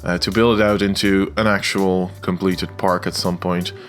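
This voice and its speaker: A man's voice: deep voice, level tone, normal speed, a bit bright. A young Dutch man with a Dutch accent, talking casually over his gameplay video, hesitant and full of filler sounds. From Netherlands. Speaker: video game creator. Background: faint guitar music.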